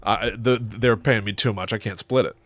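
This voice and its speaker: A man's voice: on the low side, fairly even intonation, normal speed, fairly bright tone. A middle-aged man co-hosting a podcast, chatting casually about a film in a playful, wry, riffing manner. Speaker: podcast co-host. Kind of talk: casual joking film discussion.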